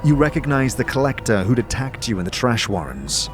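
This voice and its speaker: A man's voice: mid-range voice, very animated, fairly quick. A man giving dramatic scripted narration for a fantasy audio drama. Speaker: narrator and lead player. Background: music.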